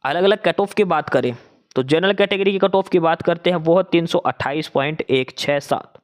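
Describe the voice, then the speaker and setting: A man's voice: on the high side, normal speed. A man who hosts an exam-results channel, explaining cutoff lists in a casual, repetitive way, often restarting phrases and switching numbers into English.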